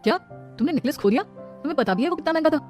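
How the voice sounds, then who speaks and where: A woman's voice: high-pitched, animated, very fast, darkish tone, faint music behind, voice pushed up. A woman voicing a firm, admonishing elder brother in a moral-story cartoon. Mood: stern. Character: protective then stern, easily angered elder brother.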